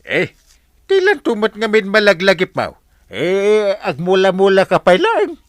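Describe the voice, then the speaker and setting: A man's voice: high voice, wildly swooping pitch, unhurried, no room sound, clean studio sound, heavily acted. A man acting in an Ilocano radio drama, playing a lazy, grumbling village captain and husband. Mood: evasive grumbling.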